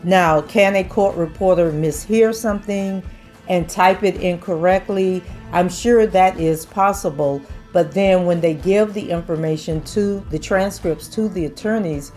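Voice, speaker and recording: A woman's voice: on the low side, measured pace, darkish tone, soft background music. A woman who is losing her voice, casually explaining court transcripts and reading testimony aloud. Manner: explains step by step, many "and so" links.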